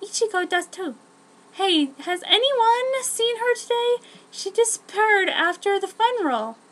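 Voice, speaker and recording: A woman's voice: extremely high pitch, lively intonation, unhurried, fairly bright tone, voice pushed up, heavily acted, rough recording. A woman voicing a sweet, inquisitive, friendly girl in a story, with a perky manner. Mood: curious.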